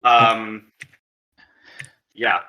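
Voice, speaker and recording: A man's voice: fairly low pitch, little pitch movement, very slow, dull audio. A man chatting casually as a player in a tabletop game, tentatively thinking aloud.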